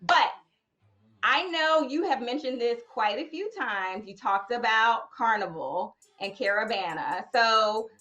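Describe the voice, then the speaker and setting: A woman hosting an interview show, playful and fond of wordplay, asking lively questions. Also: fairly high pitch, a little slow. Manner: bubbly, wordplay-loving, animated. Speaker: host.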